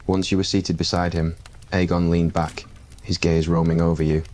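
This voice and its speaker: Un homme qui narre un récit ASMR scénarisé, d'une lecture calme et régulière. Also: male voice, low voice, moderate pace, slight background noise. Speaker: narrator. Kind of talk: scripted ASMR story narration.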